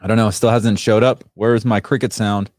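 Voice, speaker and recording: A man's voice: on the low side, flat delivery, brisk, a little mellow, dry recording, studio quality. Chatty man hosting a tech livestream. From Sri Lanka. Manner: chatty, rambling, many fillers and restarts. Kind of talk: casual livestream chat reading comments.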